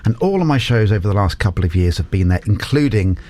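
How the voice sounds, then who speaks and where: A man's voice: on the low side, animated, fast talker, darkish tone. A man hosting a local radio show, joking and teasing his guest as he interviews her. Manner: playful, teasing, jokey. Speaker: radio host. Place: radio studio. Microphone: studio microphone.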